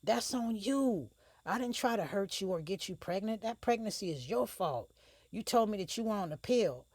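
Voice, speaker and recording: A woman's voice: low-pitched, normal speed, no room sound, clean studio sound, natural voice. A woman voicing a brash young male rapper who talks in street slang, teasing and blunt. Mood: heated. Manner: slangy, teasing, then heated and defensive. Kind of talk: audiobook character voice.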